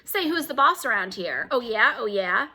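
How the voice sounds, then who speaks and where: A woman squawking as a rude, shrill pet parrot. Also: very animated, normal speed, bright, forceful tone, full character voice. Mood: cheeky. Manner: shrill, repetitive, cheeky.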